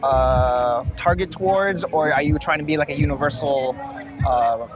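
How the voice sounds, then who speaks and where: A man's voice: fairly high pitch, normal speed. A young man hosting a casual interview outdoors at the beach, asking hesitant questions and giving short, friendly prompts. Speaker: interviewer, channel host. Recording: music playing under the voice.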